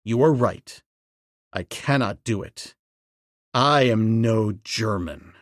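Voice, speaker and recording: A man voicing a stern wild jungle man who speaks slowly and grimly in a low voice. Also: no echo, studio quality. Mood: grave. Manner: slow, low, grim speech.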